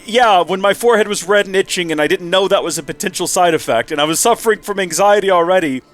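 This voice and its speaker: A man's voice: high voice, fast, soft background music. A man hosting a let's play with casual, rambling commentary, his nose stuffy and a little nasal. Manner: chatty, rambling asides.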